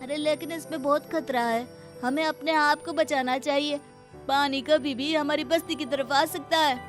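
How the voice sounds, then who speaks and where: A woman voicing a worried, cautious villager in an animated moral story, speaking anxiously. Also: sits high, normal speed, soft background music, pitched above the performer's own voice, natural voice. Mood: fearful.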